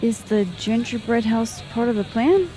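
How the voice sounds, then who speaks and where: A woman playing a wry young woman with a quiet mischievous streak in a video game, speaking in a dry, understated, teasing way. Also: a little high, expressive, normal speed, soft background music, natural voice. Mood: amused, teasing. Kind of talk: naturalistic video game acting.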